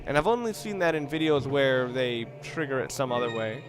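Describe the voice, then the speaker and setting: A man's voice: medium pitch, expressive, fairly quick. A man giving casual, offhand and somewhat rambling commentary while playing a video game. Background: video game music.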